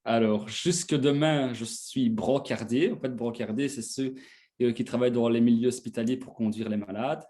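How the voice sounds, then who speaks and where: A man's voice: mid-range voice, moderate pace, warm tone. A man in his twenties from Belgium, casually telling his own story as an interview guest. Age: 20s.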